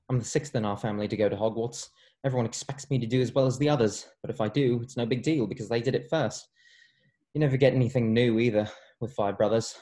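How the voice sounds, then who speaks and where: Chatty schoolboy wizard acted by a man, mellow tone, medium pitch, casual, table-read drama. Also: natural voice. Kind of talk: online table read of a screenplay.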